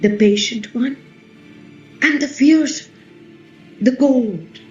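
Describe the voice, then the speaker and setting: Fierce, slow-spoken ancient queen acted by a woman. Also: a little high. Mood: wondering. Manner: slow, declamatory, emphatic. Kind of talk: dramatic play reading, radio play. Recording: muffled, roomy recording with music behind.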